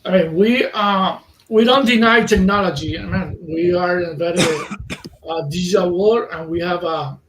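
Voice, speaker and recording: A man from Cuba, a native Spanish speaker, answering interview questions in English with many pauses and fillers as he searches for words. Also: high-pitched, a bit bright, reverberant, slight background noise, poor audio. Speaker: magazine editor, interview guest. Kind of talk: casual interview.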